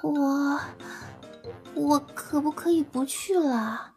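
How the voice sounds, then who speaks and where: A woman's voice: high-pitched, takes it slowly, gravelly, darkish tone, soft background music, heavily acted. A woman playing a timid, naive, easily flustered young human in an audio drama, speaking meekly and hesitantly. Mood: timid, worried. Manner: hesitant, meek. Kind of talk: Chinese audio drama acting.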